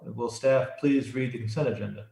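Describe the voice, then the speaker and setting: A man with an American accent and a medium-pitched voice chairs a public meeting. He reads the rules in a formal, scripted way. The sound is noticeably roomy and echoing, as on an online meeting. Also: steady tone, moderate pace, vocal fry, mellow, a little hiss or hum. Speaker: chair of a city review commission.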